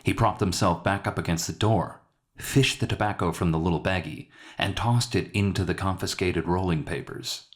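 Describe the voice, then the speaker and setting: A man's voice: low voice, steady tone, moderate pace. A man reading a scripted dramatic short story aloud as its narrator. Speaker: author narrating his own story.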